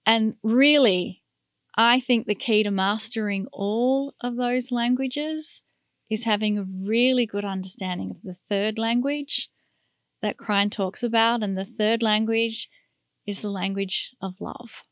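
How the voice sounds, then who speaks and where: A middle-aged woman speaking as an interview guest, earnest and reflective in a soft-spoken way. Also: a little high, a little slow, dry recording, studio quality. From born to Hungarian parents. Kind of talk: casual interview talk.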